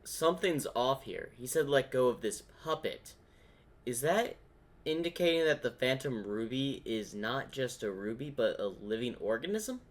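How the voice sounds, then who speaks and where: A man hosts a reaction video in a casual, conversational style. He has a mid-pitched voice and thinks aloud, offering theories as he goes. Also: lively intonation, normal speed.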